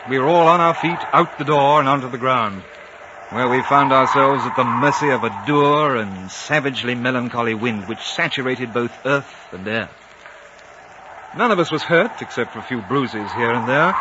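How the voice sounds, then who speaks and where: A man's voice: medium pitch, lightly acted. A man playing a composed, courteous British consul who leads and narrates a 1950s radio drama in articulate speech. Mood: grave, narrating. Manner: calm, articulate, reasoning. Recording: muffled noisy 1950 radio recording.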